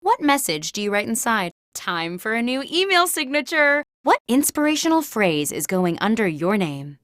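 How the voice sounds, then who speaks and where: Expressive corporate game show host. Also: female voice, a little high, normal speed, no echo, studio quality. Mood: upbeat, quizzical. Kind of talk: scripted party game prompts.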